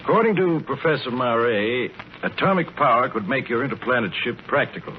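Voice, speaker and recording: Actor as an animated, genial army general. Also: male voice, mid-range voice, brisk, lightly acted. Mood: brisk, genial. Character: brisk, genial male army general, superior officer. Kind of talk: 1940s radio drama acting. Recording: muffled old radio recording, faint noise.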